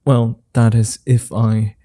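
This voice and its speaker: A man playing a suave, flirty vampire in an ASMR roleplay, speaking softly in courtly, formal phrases with a gentle, teasing manner. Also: medium pitch, level tone, no room sound, clean studio sound. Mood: coolly teasing. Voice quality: soft, dark, breathy. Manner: courtly formal wording, unhurried pauses, teasing.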